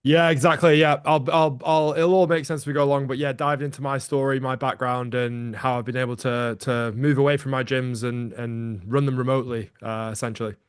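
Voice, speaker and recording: Northern English man, Lancashire, mid-pitched, upbeat webinar presenter on a video call. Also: a little fast, few pauses, clean tone. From Lancaster, Northwest England. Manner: upbeat, energetic sales-pitch delivery. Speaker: guest presenter, gym owner. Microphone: computer mic on a video call.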